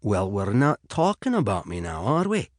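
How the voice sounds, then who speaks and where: A man's voice: fairly low pitch, huge pitch swings, moderate pace, no room sound, clean studio sound, voice pushed down. A man voicing an eccentric, blunt and breezy golfer in his late fifties, with an encouraging streak, for an audiobook. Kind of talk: audiobook character dialogue.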